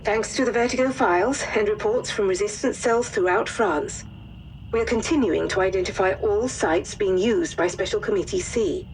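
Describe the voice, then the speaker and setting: An actress plays a woman intelligence officer delivering a scripted wartime game briefing. Her mid-pitched voice is formal, measured and grave, with faint noise behind it. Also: close to an everyday voice, rough recording. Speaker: mission briefing narrator.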